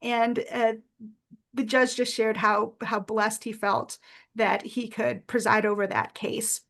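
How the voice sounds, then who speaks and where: Earnest woman presenter, fairly high voice. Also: little pitch movement, slow, creaky. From United States. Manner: earnest, warm storytelling with frequent "uh" fillers. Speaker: workshop presenter. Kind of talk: presentation with personal story.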